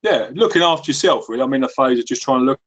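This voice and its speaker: A man's voice: mid-range voice, animated, racing, a bit bright. A man chatting reflectively on a conference call, hesitant and searching for his words. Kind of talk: reflective personal chat.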